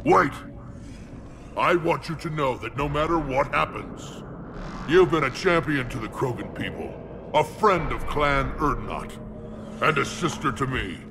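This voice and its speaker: A hulking alien krogan warlord, gruff and boastful with a fairly high-set growl. He speaks bluntly to his allies. A loud game score plays underneath. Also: heavily acted. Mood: solemn. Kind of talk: video game cutscene acting.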